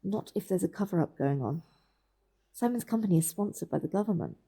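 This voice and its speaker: A woman's voice: fairly low pitch, expressive, fairly quick, vocal fry, dark tone, slightly rough, heavily acted. A woman playing an anxious, suspicious journalist in an audio drama, speaking in a hesitant, questioning way. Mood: worried, suspicious.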